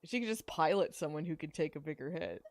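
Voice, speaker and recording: A woman's voice: deep voice, quick pace. A woman co-hosting a podcast, giving casual, chatty commentary on a video game. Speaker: podcast co-host.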